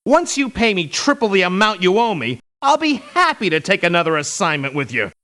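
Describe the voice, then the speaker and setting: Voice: medium pitch, big pitch swings, brisk, fairly bright tone. An actor voicing a principled, picky mercenary doctor in a video game, speaking formally and precisely with dry disdain. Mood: stern, disdainful. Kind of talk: video game character voice lines.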